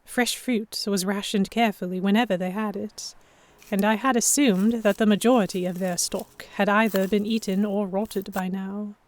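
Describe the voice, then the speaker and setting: A woman playing an anxious young noblewoman captive, narrating her own story in a measured, introspective way for a historical romance audio drama. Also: mid-range voice, steady tone, a little mellow, natural voice. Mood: guarded.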